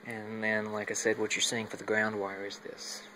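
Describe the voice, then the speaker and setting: A man giving a casual, unscripted hobbyist walkthrough on a phone, halting as he thinks aloud. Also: on the low side, completely flat delivery, pushed and bright, slight background noise, poor audio. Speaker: hobbyist demonstrating a home radio antenna setup. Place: at home, moving between indoors and outside. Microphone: handheld phone while filming.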